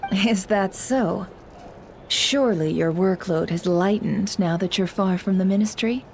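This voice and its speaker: A woman playing a sly, smooth-talking businesswoman in a video game, coy, polite and measured. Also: mid-range voice, darkish tone, faint music behind, close to an everyday voice. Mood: coy, teasing.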